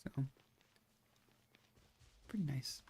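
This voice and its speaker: A man's voice: extremely slow, mellow. A man streaming a video game, giving casual, rambling and self-deprecating commentary as he plays.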